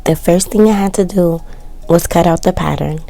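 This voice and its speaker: Woman, low voice, gravelly fry, worn and cracking, chatty sewing voice-over, self-mocking asides. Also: normal speed, mellow. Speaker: sewing vlogger. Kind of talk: casual DIY voice-over narration.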